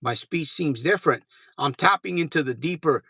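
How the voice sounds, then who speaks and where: A man in his fifties coaching viewers through a motivational livestream, his voice bright and forceful. He talks bluntly and informally, drops the odd curse, and circles back to repeat his key phrases. The sound is close and dry, with no room around it. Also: on the high side, animated, normal speed, husky, no echo. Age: 50s. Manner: earnest, repeats phrases for emphasis; blunt and informal, swears casually. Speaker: gambling recovery coach.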